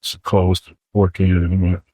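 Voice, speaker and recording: Low-voiced dude in his 40s, rambly and chill, calls folks bro, dry close mic. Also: a little fast, dry recording, low-quality recording. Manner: relaxed, rambling, says "bro". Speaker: podcast co-host. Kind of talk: casual storytelling chat.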